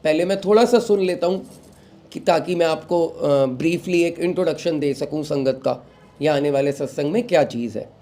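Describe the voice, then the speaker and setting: A young man, recovering from a sore throat, hosting a devotional talk and giving calm advice to followers while mixing in English phrases. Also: on the high side, normal speed. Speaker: satsang host.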